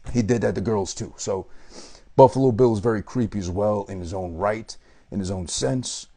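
Husky low-voiced man ranting profanely. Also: moderate pace, warm tone. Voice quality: unusual voice that might put people off. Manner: rambling, profane, digressive. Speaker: movie reviewer. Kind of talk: casual profane movie rant.

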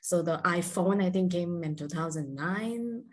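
A woman's voice: lowish voice, a little slow. A woman in her thirties, from India, telling her story as a guest in a chatty, self-deprecating way with many fillers. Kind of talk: casual interview storytelling.

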